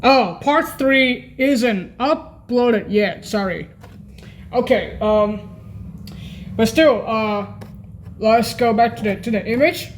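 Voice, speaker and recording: A woman's voice: on the high side, takes it slowly, slight background noise. A woman giving an informal, unscripted on-screen walkthrough, hesitant and often repeating and correcting herself. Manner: frequent throat clearing. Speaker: video creator and presenter.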